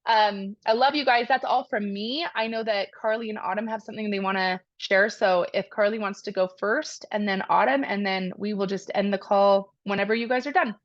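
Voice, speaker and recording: A woman's voice: medium pitch, steady tone, normal speed, hardly any pauses, no room sound. Woman call host, chatty and enthusiastic, dry and slightly dull sound. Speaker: team leader and call host. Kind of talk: casual talk wrapping up a video call.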